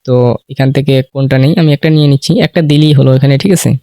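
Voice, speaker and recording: A man hosts a casual how-to tutorial at a quick clip. He explains step by step in an informal way and keeps checking that listeners follow. His voice is recorded dry. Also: medium pitch, level tone, mellow, no echo. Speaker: tutorial host.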